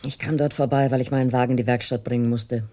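An actress playing a cool, suspicious wife in a radio drama, curt and quietly accusing. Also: female voice, very deep voice, fairly even intonation, fast talker. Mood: cold accusing. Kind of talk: marital radio drama acting.

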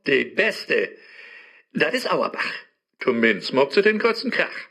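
A scheming devil of a radio play, high and slow, swooping from low growls up to high sneers, with a smooth, mocking tone. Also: male voice, big pitch swings, bright, forceful tone, full character voice. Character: sly scheming male devil living in a phone app. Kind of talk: rhymed radio play acting.

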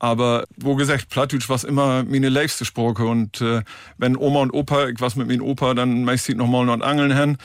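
A man's voice: medium pitch, little pitch movement, moderate pace, smooth, no room sound, studio quality. A middle-aged man from Emsland as a guest on a radio show, friendly and plain-spoken, chatting and laughing a little. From Emsland, northern Germany. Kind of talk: casual radio interview. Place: radio studio. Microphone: studio microphone.

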